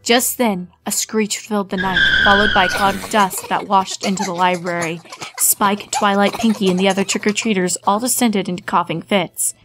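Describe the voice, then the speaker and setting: A woman's voice: mid-range voice, steady tone, moderate pace, over music. A woman reading a scripted story as its narrator.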